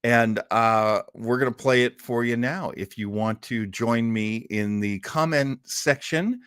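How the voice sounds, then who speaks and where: A man's voice: mid-range voice, measured pace, a bit bright, clean tone, dry recording, clean studio sound. A man hosting a show with a casual, jokey, somewhat hesitant introduction. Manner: hesitant, self-deprecating, jokey. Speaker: host.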